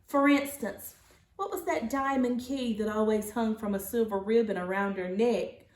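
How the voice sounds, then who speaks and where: A woman teacher reading a children's storybook aloud, with expressive, deliberate phrasing in an echoey room. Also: on the high side.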